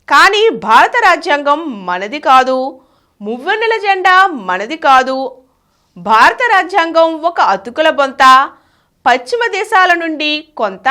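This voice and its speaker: A woman's voice: high voice, bright, forceful tone. A woman presenting a scripted article in a formal, emphatic, phrase-by-phrase read. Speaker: channel presenter reading an article.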